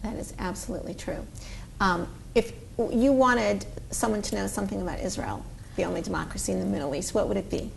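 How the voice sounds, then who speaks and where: A woman's voice: medium pitch, a little fast, vocal fry, a little hiss or hum. A middle-aged woman hosting a talk show interview, chatty and teasing. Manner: chatty, teasing, laughs often.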